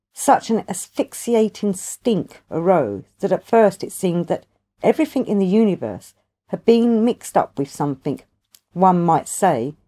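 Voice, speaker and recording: A woman voicing a wise, ancient grandfather in an audiobook, telling his tale in a formal, measured, long-winded way. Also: fairly low pitch, dark tone.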